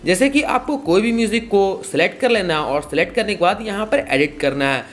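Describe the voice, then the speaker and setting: A man's voice: high voice, lively intonation, brisk. A young man giving a casual, repetitive step-by-step tutorial. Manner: repetitive, explanatory. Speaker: tutorial host.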